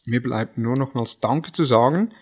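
A man's voice: mid-range voice, moderate pace, darkish tone, slightly rough. A Swiss man with a Swiss German accent hosting a podcast, speaking in a friendly way with frequent fillers. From Switzerland. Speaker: podcast host. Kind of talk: podcast host wrap-up.

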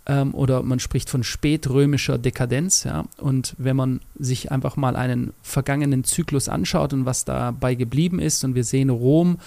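A man's voice: mid-range voice, steady tone, normal speed, creaky, few pauses, warm tone, no room sound. A man from Germany co-hosting a casual podcast conversation, often affirming and talking in long, thoughtful sentences with fillers. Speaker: podcast co-host.

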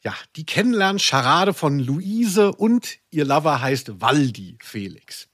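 A man's voice: a little high, big pitch swings, takes it slowly, fairly bright tone, slight background noise. A man hosting a podcast, chatting casually in a rambling, self-deprecating way. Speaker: podcast host. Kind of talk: casual conversational podcast chat.

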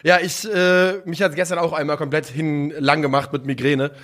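Guy hosting a football podcast, kinda high voice, chatty, laughs a lot. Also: steady tone, fairly bright tone. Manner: chatty, laughing, quick asides. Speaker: podcast co-host. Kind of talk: casual football podcast chat.

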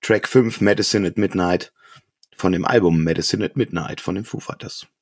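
Low husky man chatting on a podcast. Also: moderate pace. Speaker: podcast co-host. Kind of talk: casual chat among friends.

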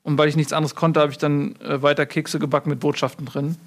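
Guy doing a mumbly fortune cookie writer, rambling deadpan, kinda high voice. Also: fast, natural voice. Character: male fortune cookie author, muddled and rambling. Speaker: mock interview guest. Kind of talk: improvised comedy sketch.

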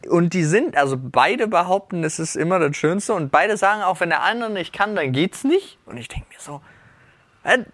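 A man's voice: high voice, expressive, normal speed, a bit bright, no room sound. A man co-hosting a casual podcast, rambling and breaking off mid-sentence as he tells stories from his own relationships. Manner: rambling, self-interrupting, laughs at his own remarks. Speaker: podcast co-host.

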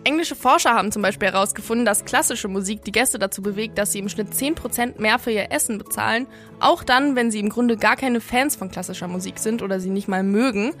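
A woman's voice: mid-range voice, a little fast, a bit bright, soft background music. A young adult woman co-hosting a podcast, chatting casually and presenting. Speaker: podcast co-host.

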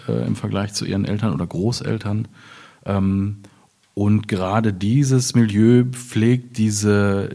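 A man in a reflective literary discussion, speaking hesitantly and deliberately as he searches for his words. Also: fairly low pitch, mellow. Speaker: author in panel discussion.

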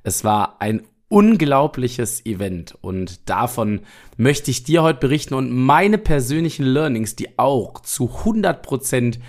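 A young man hosting a solo podcast, talking straight to the listener in an energetic, motivational way while still recovering from an illness. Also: mid-range voice, measured pace. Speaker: podcast host.

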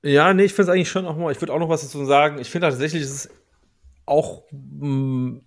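A man's voice: fairly high pitch, fairly even intonation, brisk, vocal fry. A man co-hosting a podcast, chatting casually about music and hedging as he circles toward his point. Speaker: podcast co-host. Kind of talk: casual music review chat.

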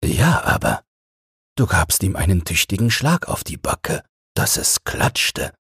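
An old seafaring husband acted by a male reader looks back fondly in a bedtime storybook tale. He speaks very low and slowly, with a crackling, husky edge. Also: wildly swooping pitch, a bit bright, dry recording, clean studio sound, heavily acted. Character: old retired sailor, affectionate, reminiscing husband. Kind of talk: audiobook fairy-tale character reading.

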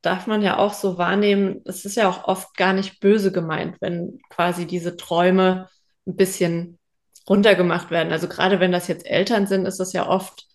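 A woman's voice: lowish voice, level tone, normal speed. A woman co-hosting a podcast, chatting casually and reflectively, thinking aloud as she goes. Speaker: podcast co-host. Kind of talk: casual conversational podcast chat.

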